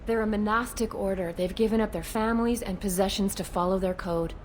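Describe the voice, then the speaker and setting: Actress as wary female alien cop for a sci-fi game. Frank, a bit exasperated, over noisy rumble. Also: fairly even intonation, brisk, natural voice. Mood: frank, cautious. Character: wary, plain-spoken female alien police officer. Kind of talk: video game acting.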